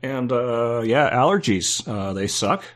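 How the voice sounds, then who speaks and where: A man's voice: medium pitch, lively intonation, slow, a bit bright. A man with congestion from allergies, chatting on a podcast in a hesitant way, trailing off often. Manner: hesitant, many fillers, trailing off. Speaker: podcast co-host. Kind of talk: casual podcast chat.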